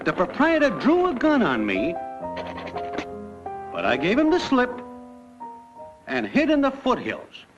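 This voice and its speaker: A man's voice: very high voice, wildly swooping pitch, a little slow, pauses often, exaggerated voice. An American actor playing a brash young newcomer to the afterlife, breezily telling his life story in slang. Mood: matter-of-fact, jaunty. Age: young adult. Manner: breezy, wisecracking, packed with idioms. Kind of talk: classic cartoon comic narration. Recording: dull lo-fi cartoon soundtrack, loud music.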